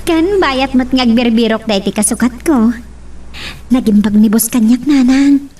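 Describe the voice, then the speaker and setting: A woman's voice: on the high side, moderate pace, warm tone, lightly acted. A woman playing an earnest young female student who works in a bar, in an Ilocano drama. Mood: respectful. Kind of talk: Ilocano radio-style drama acting. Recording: clear background noise.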